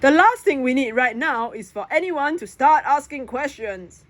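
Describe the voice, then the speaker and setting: A woman voicing a stern, forceful, demanding father in a storybook read-aloud. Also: high voice, lively intonation, moderate pace, no echo, voice pushed up. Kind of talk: acted storybook dialogue. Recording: clear background noise.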